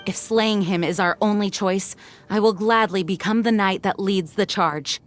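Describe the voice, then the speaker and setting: A woman voicing a composed female knight commander who speaks in a measured, formal way. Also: medium pitch, soft background music, natural voice. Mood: resolute. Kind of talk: video game cutscene dialogue.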